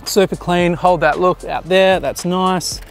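A man's voice: sits high, steady tone, a little slow, creaky, faint music behind. A man in his thirties, a photographer, casually explaining his shots while giving directions to a model outdoors. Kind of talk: casual behind-the-scenes commentary. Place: outdoors on a beach jetty.

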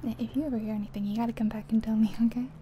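A woman whispering softly and breathily in an ASMR roleplay as a friendly taxi driver, chatting gently and without hurry. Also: fairly high pitch, very fast. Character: friendly small-town taxi driver giving a guided ride. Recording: steady car and rain noise. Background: car sounds and rain.

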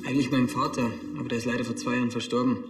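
A man's voice: mid-range voice, level tone, very fast, reverberant, big-room sound, soft background music, close to an everyday voice. A young man playing a guarded son of nineteen in a TV crime comedy.

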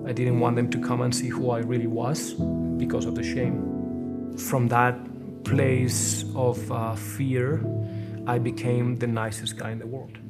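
Man, 40s, from Colombia; flat, reflective testimony, guitar music loud underneath. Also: mid-range voice, unhurried. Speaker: testimony speaker. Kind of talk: documentary testimony interview.

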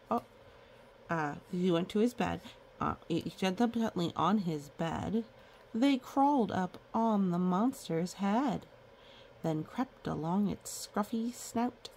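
A woman's voice: on the low side, creaky, mellow, slight background noise. A woman reading a children's picture book aloud in a playful way, breaking off for chatty asides and sing-song counting.

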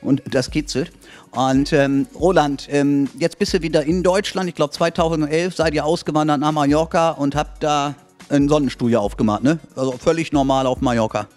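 A man's voice: a little high, normal speed, a little mellow, faint music behind. A middle-aged man hosting a casual talk show in a spa studio, chatty and joking, speaking into a handheld mic. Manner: jokey, chatty.

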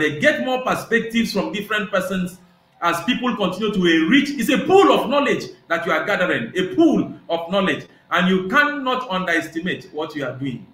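A middle-aged man with a Nigerian accent speaks in a high, bright and forceful voice. He builds slow, rhetorical points full of hesitant pauses. His video call audio rings with a noticeably echoey room. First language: Igbo. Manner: measured, rhetorical, many hesitations. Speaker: guest professor. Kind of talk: reflective interview answers. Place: small indoor room.

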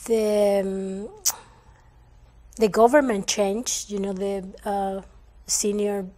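A woman from El Salvador speaks as a guest on a studio panel. She talks slowly in a flat, even tone and halts often on "um" and "uh" as she works through her explanation. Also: medium pitch. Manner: slow, halting, many fillers. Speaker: community organization guest. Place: TV studio, panel at a long table.

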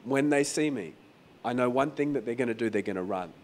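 A man preaching a sermon as pastor in a croaky, hoarse voice, talking conversationally and repeating phrases for emphasis. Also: medium pitch, animated, fairly quick, slight background noise. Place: venue hall during a church service.

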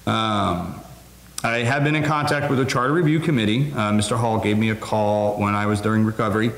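A man giving a quick, businesslike report to a city council, with many fillers. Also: fairly low pitch, fairly even intonation, fairly bright tone. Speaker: city administrator. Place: wood-paneled council room, indoors. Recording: roomy meeting hall with faint noise.

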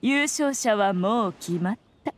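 A woman's voice: medium pitch, expressive, measured pace, slight background noise, natural voice. A woman voicing a playful, commanding ancient fox spirit lady in an anime game, speaking in an archaic, self-assured, teasing way. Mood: composed, reflective. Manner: archaic, lordly old-fashioned speech, teasing and self-assured. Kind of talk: Japanese anime game voice acting.

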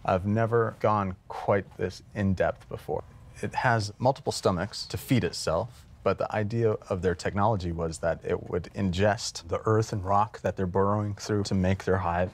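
Deep, creaky-voiced man. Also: steady tone, normal speed. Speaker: creature designer. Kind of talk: behind-the-scenes interview. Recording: music playing behind the voice.

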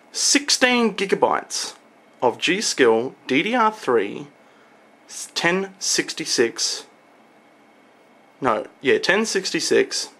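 A young adult man talking informally to a camera in a home room, explaining things in a halting, casual showcase style. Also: medium pitch, fairly bright tone. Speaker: tech vlogger. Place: small home room, indoors.

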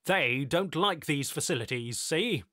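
A man's voice: on the high side, lively intonation, measured pace, a bit bright, dry recording, studio quality, voice pushed up. A man voicing a short, jocular male technician, sarcastic, brash and bellowing. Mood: gruff.

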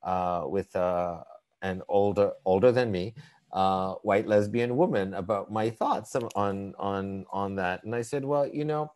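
Middle-aged guy with a really deep voice on a video call, thoughtful, stops and repeats himself a lot. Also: darkish tone. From Canada, born in East Africa. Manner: thoughtful, halting, many repeated words. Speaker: conversation partner. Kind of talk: reflective video-call conversation.

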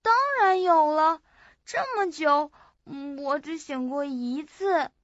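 A woman acts out a sweet, naive young woman in a radio play. Her voice is extremely high and unhurried, feeling its way hesitantly through each phrase. The sound is dry but a little muffled and lo-fi. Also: dry recording. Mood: innocent, earnest. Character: childlike, sweet, forgetful young woman just awakened. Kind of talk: audio drama acting. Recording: muffled lo-fi audio.

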